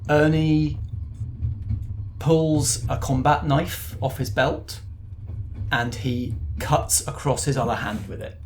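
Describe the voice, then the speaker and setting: A man's voice: fairly high pitch, fairly even intonation, slow pace, long pauses, slight background noise. A man improvising narration as the game master of a tabletop roleplaying game.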